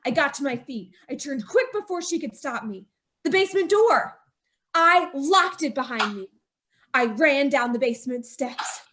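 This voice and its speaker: A woman narrating her own story in an old-time radio drama, in a tense, haunted voice that climbs to a frantic pitch. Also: expressive, normal speed, a bit bright. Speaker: narrator and lead character.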